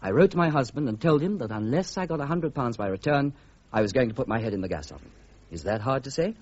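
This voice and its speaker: A man's voice: mid-range voice, lively intonation, fast, natural voice. A man playing an outspoken, sardonic British army officer and loyal friend, with an English accent, in a radio drama. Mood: urging. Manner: sardonic, frank. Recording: muffled radio recording with background noise.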